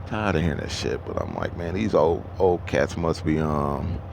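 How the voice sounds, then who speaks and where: Deep gravelly man, 30s, trucker, profane rambling vlog talk, noisy truck stop behind. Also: moderate pace, a little mellow. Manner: rambling and profane, with many restarts and fillers. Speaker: truck driver. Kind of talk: casual monologue to camera.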